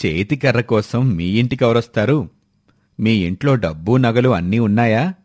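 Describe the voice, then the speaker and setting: Man playing a measured, authoritative village headman. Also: mid-range voice, animated, darkish tone, dry recording, clean studio sound, close to an everyday voice. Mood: calm, questioning. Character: steady, shrewd village headman solving a theft. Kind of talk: acted dialogue in a moral story.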